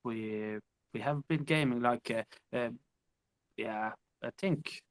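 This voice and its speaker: Band musician guest, speaks slowly and hesitantly, lots of "uh". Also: male voice, medium pitch, smooth, dry recording. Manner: hesitant, many fillers. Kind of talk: casual interview.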